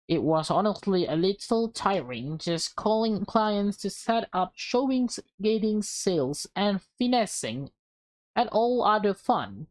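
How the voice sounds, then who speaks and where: A woman's voice: mid-range voice, dry recording. A woman reading visual novel text aloud with casual reactions, stumbling now and then over words and correcting herself.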